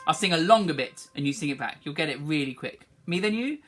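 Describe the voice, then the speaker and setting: A woman's voice: low-pitched, expressive, fast talker, fairly bright tone, soft background music. A woman teaching children a song, speaking encouragingly and singing short phrases for them to repeat. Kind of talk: online singing lesson, call and response.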